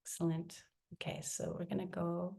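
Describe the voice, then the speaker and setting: Soft-spoken woman leading a meditation. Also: lowish voice, level tone, gravelly. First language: Tagalog. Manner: slow, soft and reflective, with pauses and restarts. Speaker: co-facilitator of a spiritual gathering. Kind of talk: guided meditation talk. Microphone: video call.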